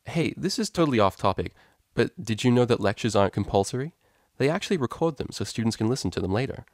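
A playful young mare DJ from a storybook, voiced by a man, easygoing and slangy. The pitch is lifted a little, and the sound is dry and close. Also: animated, fast, darkish tone, dry recording, natural voice. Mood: casual. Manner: slangy, casual. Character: laid-back, playful young female unicorn DJ.

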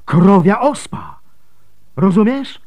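Grieving male veteran for radio drama: bitter, brooding, unhurried delivery in a dry studio. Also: high-pitched, expressive, dry recording, muffled recording. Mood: excited. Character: grieving, weary, bitter former soldier. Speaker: actor in a radio play.

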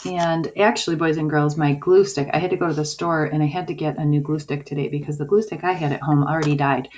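A woman teacher giving a video lesson to young children in simple, deliberate phrases. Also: deep voice, steady tone, darkish tone. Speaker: early-years school teacher. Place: indoors at a table, homemade video. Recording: dull lo-fi sound, clear noise.

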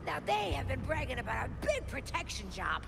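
A woman's voice: high voice, very animated, a little fast, gravelly, very noisy, exaggerated voice. An actress playing a brash, defiant female street-gang henchwoman in a video game. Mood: nervous, defensive. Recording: game music behind the voice.

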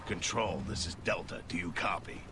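Gruff, deep-voiced veteran soldier. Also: male voice, animated, normal speed. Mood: businesslike. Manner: terse, commanding. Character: grim, gruff veteran soldier squad leader. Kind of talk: video game voice acting. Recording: loud game noise behind.

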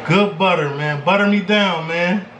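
High-voiced, hyped American man. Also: normal speed. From Chicago, United States. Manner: animated, boastful, slangy, repeats catchphrases. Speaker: vlog host. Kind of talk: casual excited cooking vlog chat. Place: small home kitchen, indoors. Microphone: camera, handheld or on the counter. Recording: echoey kitchen, clearly noisy background.

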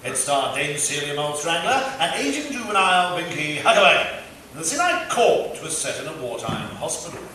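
An actor playing a dry, nostalgic comedy radio host giving a scripted, innuendo-laden introduction. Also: male voice, on the high side, big pitch swings, fairly quick. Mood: arch, nostalgic. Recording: distant, echoing theatre with faint noise.

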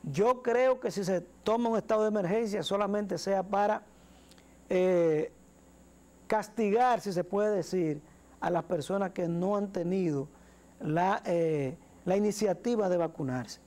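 A man's voice: high voice, a little slow, a little mellow. Man, Dominican accent, 40s, TV studio, lapel mic, earnest commentary. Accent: Dominican Spanish. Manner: earnest, gestures while speaking, repeats words. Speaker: talk show co-host. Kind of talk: political commentary.

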